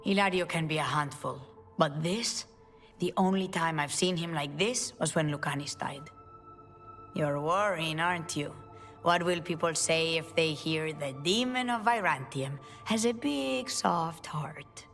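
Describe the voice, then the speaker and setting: A motherly yet commanding matriarch in a video game. Her voice is low and wry, over faint music. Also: measured pace, fairly bright tone. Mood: wry, teasing.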